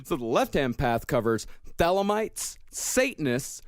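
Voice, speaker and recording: A man's voice: on the high side, unhurried, no echo. A man hosting a comedy podcast, reading prepared notes in an explanatory way. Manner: explanatory, lively read. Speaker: podcast host.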